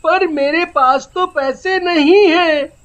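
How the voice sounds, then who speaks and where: Adult acting a young boy, innocent, eager, polite, bright voice, slow delivery, cartoon moral tale. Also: very high voice, heavily acted. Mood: earnest. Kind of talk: animated moral story character acting.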